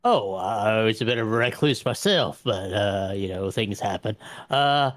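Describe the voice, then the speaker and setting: A man's voice: lowish voice, animated, dry recording, pitched below the performer's own voice. Man playing a nervous, stammering vampire preacher. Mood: nervous, rambling. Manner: halting, stammering, folksy "y'all". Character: nervous, rambling 55-year-old vampire preacher, folksy.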